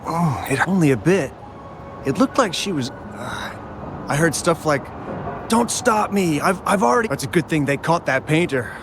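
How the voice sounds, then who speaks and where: A man's voice: a little high, animated, moderate pace, background noise. An actor playing a dazed male witness who rambles and trails off mid-sentence.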